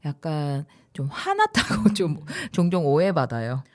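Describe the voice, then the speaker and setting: A woman's voice: deep voice, big pitch swings, a little slow. A woman from Busan with a Gyeongsang accent, co-hosting a community podcast in casual chat, laughing often and hedging with asides. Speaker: podcast co-host.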